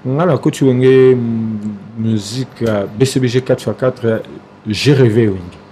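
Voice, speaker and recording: A Congolese man in his forties with a Kinshasa accent, a singer talking in a casual studio interview, halting with many fillers and restarts. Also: mid-range voice, dark tone, a little hiss or hum. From Democratic Republic of the Congo. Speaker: singer guest. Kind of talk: casual interview talk mixing Lingala and French. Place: small TV studio, indoors. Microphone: desk mic on stand, close.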